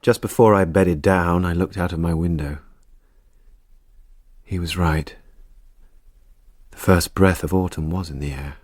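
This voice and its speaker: A very low male voice, close and dry, narrating a radio drama as a wistful man looking back. The delivery is measured and literary, full of quiet reflection. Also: steady tone, dry recording. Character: reflective man recalling a long-past country summer, wistful narrator. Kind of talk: scripted first-person drama narration.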